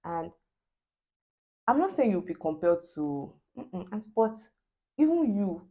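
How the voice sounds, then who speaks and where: A young Nigerian woman with a Nigerian accent, Yoruba her first language, hosting a casual chat, animated, mixing in pidgin and laughing often. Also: medium pitch, unhurried, breathy edge, phone-quality sound. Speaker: host.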